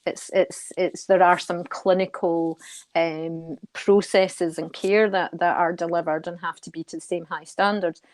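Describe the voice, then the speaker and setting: A middle-aged woman answers interview questions in a low voice with very little melodic movement. She is measured and thoughtful, doubling back on words as she talks. The sound is close and dry. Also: level tone, no room sound. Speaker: guest, senior nurse and charity committee member.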